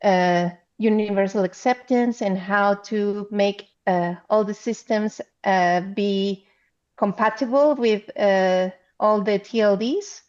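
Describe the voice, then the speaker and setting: A woman from Guatemala with a Guatemalan Spanish accent, presenting remotely at a conference in a halting, explanatory way. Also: mid-range voice, a little mellow. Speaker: council chair speaking as panelist. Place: remote, on a video call. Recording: slightly dull video-call audio.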